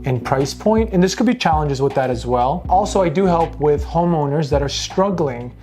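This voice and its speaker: A man's voice: fairly high pitch, lively intonation, moderate pace, dark tone. Earnest man, music under him. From Boston. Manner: earnest, conversational, with some restarts. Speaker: real estate agent, guest. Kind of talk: interview.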